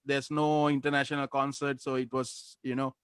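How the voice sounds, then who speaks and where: A man's voice: mid-range voice, level tone, normal speed, a bit bright, clear, resonant tone, no room sound, studio quality. A man from Sri Lanka, a guest in a casual interview, talking loosely with many fillers and restarts. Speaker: interview guest, music podcaster.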